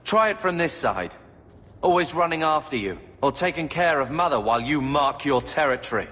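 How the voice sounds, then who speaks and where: A man's voice: on the high side, animated, moderate pace, fairly bright tone. A man playing a bitter, sarcastic young man, an aggrieved younger brother in a video game. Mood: resentful. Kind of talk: dramatic video game acting. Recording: long reverb with background music.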